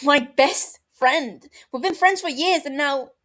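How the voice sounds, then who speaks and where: A woman voicing an agitated, defensive young man in an audiobook, halting, heated and sharp. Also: high voice, pitched above the performer's own voice. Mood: confessing, upset.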